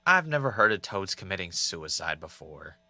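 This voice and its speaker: A man acting as an anxious, self-doubting podcast host in an audio drama, talking in a halting, confessional way. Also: low-pitched, big pitch swings, pushed and bright, dry recording, exaggerated voice. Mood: dry, puzzled. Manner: hesitant, self-questioning, halting.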